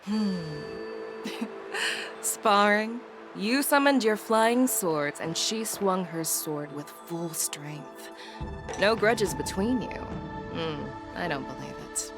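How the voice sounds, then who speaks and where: A woman's voice: medium pitch, lively intonation, takes it slowly. An actress voicing a confident, playful woman general in a video game, teasing and self-assured, with music behind.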